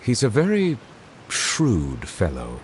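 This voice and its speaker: A composed, courteous high official for a video game. His deep voice is formal and measured, with a faint rumble of noise behind it. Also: huge pitch swings, darkish tone, exaggerated voice. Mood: grave, cautioning.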